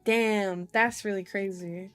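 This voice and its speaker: A woman's voice: mid-range voice, steady tone, slow. A woman chatting casually and playfully in an ASMR style, giggly, with silly repeated words and sounds, reading fortunes for viewers. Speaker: streamer reading horoscopes and tarot for chat viewers. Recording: dry close recording over faint music.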